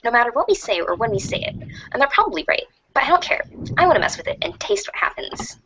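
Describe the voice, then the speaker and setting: Through a slightly noisy, dull recording comes a bossy, teasing troll girl played by a woman. Her high voice needles bluntly and theatrically, as in an amateur radio play. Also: very animated, quick pace, fairly bright tone, exaggerated voice. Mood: scheming. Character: teasing, bossy blind troll girl, a leet-speaking online schemer. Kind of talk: amateur reader's theater reading. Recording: muffled lo-fi, noisy home recording.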